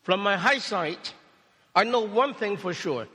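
Cantonese-native pastor giving a sermon in short, paused phrases, slightly high voice, long reverb of a church hall. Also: male voice, animated, bright, forceful tone, husky. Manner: speaks in short phrases, pausing for interpretation. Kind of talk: sermon testimony with interpreter.

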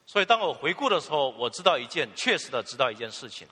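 A man's voice: fairly high pitch, very animated, fast talker, bright, forceful tone, husky, big-room sound. A man interpreting a sermon phrase by phrase, fluent, with filler particles and restarts.

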